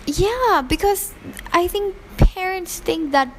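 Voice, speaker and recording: A woman's voice: very high-pitched, lively intonation, takes it slowly, slight background noise. A woman from Pakistan who grew up in Hong Kong, giving earnest, opinionated interview answers with fillers and restarts. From Pakistan, raised in Hong Kong.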